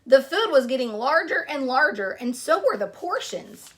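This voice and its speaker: A woman reading a children's picture book aloud, expressive, pausing to put questions to young listeners. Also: high voice.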